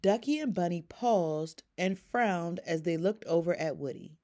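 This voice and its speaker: A woman reading a bedtime story aloud to children in an expressive, deliberate storytelling style. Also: lowish voice.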